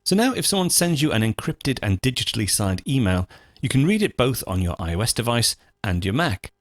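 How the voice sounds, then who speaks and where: A man hosting a software tutorial, explaining things step by step in an informal, methodical, conversational way. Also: mid-range voice, big pitch swings, brisk, no room sound, studio quality. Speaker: host of a software tutorial show.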